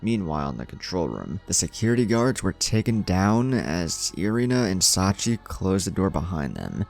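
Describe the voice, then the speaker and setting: A man's voice: sits low, big pitch swings, normal speed, gravelly, faint music behind. A man reading a scripted story as a narrator, with dramatic delivery. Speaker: narrator of a fan fiction story.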